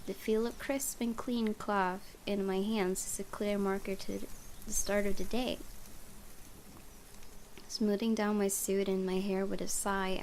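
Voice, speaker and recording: A woman reading visual novel narration aloud for a let's play, with expressive, theatrical storytelling. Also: mid-range voice, a little hiss or hum. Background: music.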